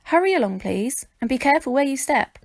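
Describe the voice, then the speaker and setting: A woman voicing a brisk, bossy, teasing circus man in a children's storybook. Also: high voice, expressive, no room sound, pitched above the performer's own voice.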